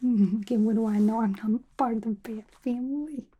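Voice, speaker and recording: A woman's voice: a little high, normal speed, husky, narrow, tinny audio. A woman playing a playful, unhinged, gossipy female villain inmate in a chatty, conspiratorial whispered ASMR roleplay.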